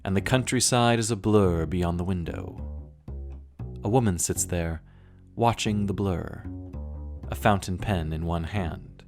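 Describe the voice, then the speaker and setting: Deep, gravelly, slow noir narrator. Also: male voice, darkish tone. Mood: moody, hushed intrigue. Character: moody, unhurried noir radio drama narrator. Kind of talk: scripted scene-setting narration. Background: plucked double bass music.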